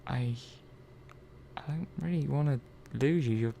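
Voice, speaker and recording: A man playing an earnest, hesitant robot repair technician in a soft-spoken ASMR roleplay, halting and trailing off mid-sentence. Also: mid-range voice, vocal fry, slight background noise. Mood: worried, protective.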